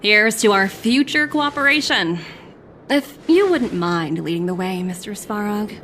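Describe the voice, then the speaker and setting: A woman's voice: medium pitch, unhurried, fairly bright tone, slight background noise, natural voice. A woman playing a shrewd, self-assured young corporate executive in a video game, speaking with polish. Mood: cool, confident.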